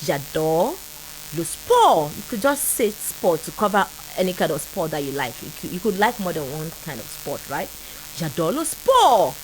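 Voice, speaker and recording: A woman with a Nigerian accent hosting a call-in radio French lesson, laughing often and slowly repeating phrases for listeners to copy. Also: fairly low pitch, sing-song, a little mellow, a little hiss or hum, poor audio. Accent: Nigerian English. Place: radio studio.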